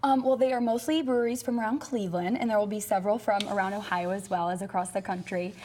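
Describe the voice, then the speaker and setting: A woman's voice: medium pitch, fairly even intonation, fast talker, darkish tone, a little hiss or hum. A woman interviewed live as a festival representative, giving conversational promotional answers.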